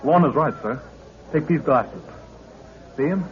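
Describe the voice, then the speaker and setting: Eager young adventurer, old radio. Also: male voice, fairly high pitch, lively intonation, measured pace. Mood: earnest, curious. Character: eager, respectful young male adventurer, second-in-command. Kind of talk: 1930s radio adventure acting. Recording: dull, noisy old radio recording.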